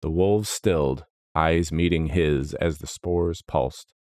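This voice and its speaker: A man narrating a scripted fantasy story in a solemn, dramatic, measured manner. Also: a bass voice, darkish tone, no room sound, studio quality.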